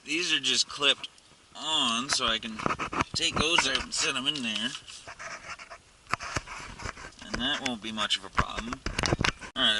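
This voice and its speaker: A man giving a casual hands-on tutorial from inside a car, talking offhandedly as he works, with some grumbling and swearing. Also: mid-range voice. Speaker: amateur how-to video maker. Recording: clear vehicle noise and clicks.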